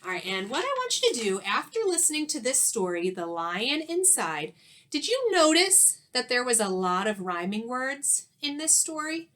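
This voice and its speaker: A woman teacher reading a children's story aloud expressively, with dramatic pauses and questions to young listeners. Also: on the high side, very animated, a bit bright.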